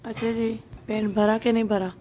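A woman's voice: on the high side, little pitch movement, moderate pace. A woman with a Pakistani accent chatting casually as a family vlogger into a phone. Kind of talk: casual vlog chat. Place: inside a car, restaurant and shop. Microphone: phone at arm's length. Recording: loud car and street background noise.

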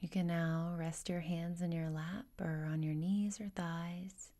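A woman leading a guided meditation in a gentle, soothing, unhurried way, with a breathy, whispery, husky voice. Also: fairly low pitch, level tone. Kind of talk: guided meditation, soft instruction.

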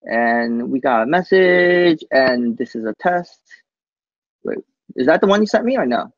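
A man giving a casual live software demo on a team call, speaking haltingly and often tacking "basically" onto sentences. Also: mid-range voice, expressive, no echo. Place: coworking space, on a group video call. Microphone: video call microphone. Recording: muffled video call audio.

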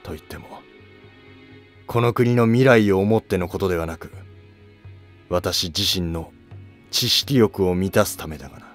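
A man performs an old, shrewd minister of an ancient court in a historical drama. The voice sits low, with grave, deliberate, probing delivery over music. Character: aging, probing court minister near the end of life.

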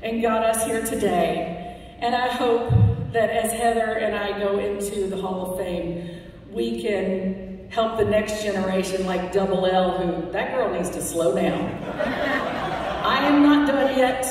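A woman radio host speaks at a podium, heartfelt with wry asides as she thanks people. Her voice sits at an ordinary pitch and is heard from far off through the audience. A long, booming reverb fills the big banquet hall, with faint room ambience beneath. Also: slow pace. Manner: heartfelt, with wry jokes and lists. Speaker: radio host accepting an award. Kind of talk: acceptance speech. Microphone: podium microphone, recorded from the audience. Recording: echoey hall recorded from far off.

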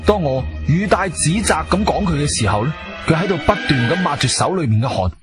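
A man's voice: fairly high pitch, animated, a little fast, natural voice. An actor playing a composed, wronged adventurer who tells his own story in a radio drama, stern and dramatic. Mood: tense, controlled. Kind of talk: first-person radio drama narration and dialogue. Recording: music behind the voice.

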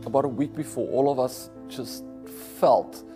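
A man's voice: medium pitch, normal speed, soft background music. A man in his forties with a South African accent, Afrikaans his first language, reflecting in an interview with many fillers. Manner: reflective, warm storytelling with frequent fillers. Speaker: diplomat. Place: living room, indoors. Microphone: lapel.

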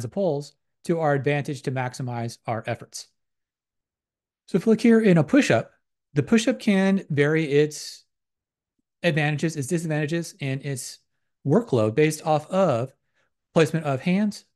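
A man's voice: fairly high pitch, a little mellow. A man talks through a slide lecture in a slightly raised voice. He is methodical and academic, circling back over the same technical terms as he explains. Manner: methodical, repetitive academic phrasing. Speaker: exercise science lecturer.

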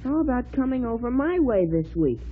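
A woman's voice: fairly high pitch, very animated, normal speed, husky. A woman playing an eager, curious young boy in an old-time children's radio show. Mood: curious, excited. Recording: tinny old radio recording.

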